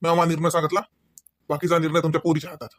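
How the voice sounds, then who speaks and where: A man's voice: on the high side, little pitch movement, very fast, over loud music, lightly acted. A man playing a proud, angry village husband in a comedy cartoon, scolding in a Varhadi Marathi accent. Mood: stern, defiant. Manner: scolding, firing off rhetorical questions. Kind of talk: amateur comedy cartoon dialogue.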